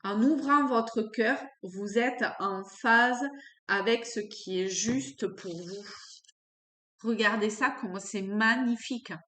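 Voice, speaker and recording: Woman w a cold reading tarot, slow and halting, lots of ums, echoey room. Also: fairly high pitch, pushed and bright. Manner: halting, searching for words with many fillers. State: has a cold. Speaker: tarot card reader. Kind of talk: unscripted tarot reading to camera.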